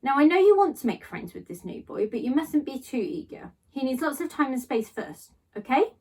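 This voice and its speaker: A woman voicing a gentle, patient mother who explains things tenderly and earnestly to her child in a storybook. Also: sits high, very animated, brisk, husky, recorded from a distance, full character voice. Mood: gentle, firm.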